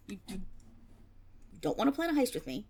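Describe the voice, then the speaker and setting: A woman's voice: medium pitch, a little mellow, slightly rough, heavily acted. A woman playing a flustered young tiefling rogue in a fantasy audio roleplay, stammering and blustering, cocky on the surface but unsure underneath. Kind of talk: fantasy roleplay audio drama, one-sided dialogue.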